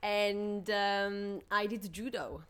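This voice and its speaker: A woman's voice: mid-range voice, steady tone, takes it slowly, a bit bright, clean tone. A woman in her thirties from Slovakia, chatting casually as a guest in an interview. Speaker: podcast guest.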